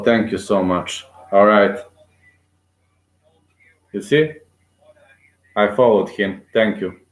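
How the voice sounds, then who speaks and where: A man giving live play-by-play commentary on a fight, excitable and cheering aloud, with frequent fillers and restarts. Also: lowish voice. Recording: echoey room.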